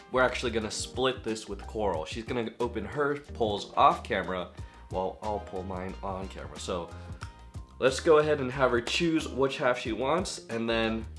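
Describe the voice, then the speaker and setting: A man from the Seattle area vlogging about opening trading cards at home, his voice hoarse and still recovering, talking in a chatty, rambling way that keeps correcting itself. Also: mid-range voice, fairly bright tone. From Seattle area, USA. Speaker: card-collecting vlogger. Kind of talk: casual vlog talk to camera.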